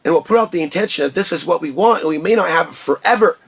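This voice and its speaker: A man with a big, booming voice that sits a touch high holds forth to camera in a personal vlog. He rants with conviction, recorded in a small insulated shed studio. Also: quick pace, vocal fry, slightly rough. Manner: impassioned, ranting. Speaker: vlogger.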